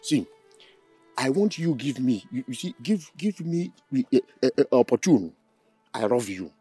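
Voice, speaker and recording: A man's voice: medium pitch, animated, a little slow, dark tone, breathy edge, no room sound, faint music behind. An actor playing a giggly, lovestruck suitor with a Nigerian accent, teasing and stumbling over his words. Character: giggly lovestruck village suitor, grown man. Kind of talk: comic film acting.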